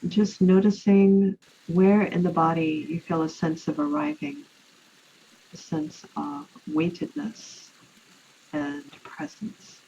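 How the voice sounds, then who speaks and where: A woman leading a guided relaxation meditation in an unhurried, soothing way, leaving long pauses. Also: on the low side, lively intonation, mellow. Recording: muffled, with clear background noise.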